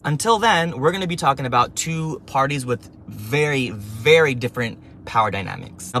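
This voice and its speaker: A man's voice: fairly high pitch, bright, forceful tone, slight background noise. A young man speaking earnestly in a scripted opinion video, building his points through emphatic lists. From Palestinian diaspora. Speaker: commentator.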